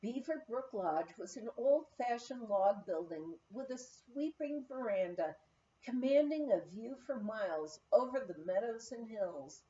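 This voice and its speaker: High-pitched woman reading a memoir expressively. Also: animated, unhurried, mellow, muffled recording. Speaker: audiobook reader.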